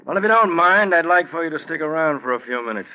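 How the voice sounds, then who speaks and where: An actor playing a dry, mock-polite, probing police desk sergeant in a 1940s radio crime drama. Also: male voice, on the high side, animated, quick pace, lightly acted. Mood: sly, toying. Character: sly, sardonic male police desk sergeant. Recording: muffled 1940s radio recording.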